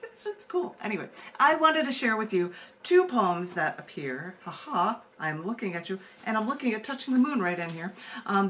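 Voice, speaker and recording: A woman's voice: fairly low pitch, a bit bright. A woman hosting an open mic and reading her poetry aloud, moving between casual talk and narrative reading. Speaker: open mic host and poet. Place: video call open mic. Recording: noisy room sound.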